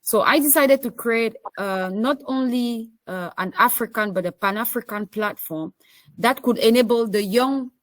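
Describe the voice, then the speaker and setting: A woman's voice: fairly high pitch, normal speed, dry recording. A woman with a Cameroonian accent, introducing herself as a panelist. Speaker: panelist, association president.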